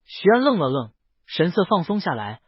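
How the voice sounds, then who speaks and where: A man's voice: sits high, big pitch swings, moderate pace, husky, dry recording, lo-fi sound. A man narrating a scripted romance novel as an audiobook, reading close to the mic in a dry recording. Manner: reads character dialogue within the narration.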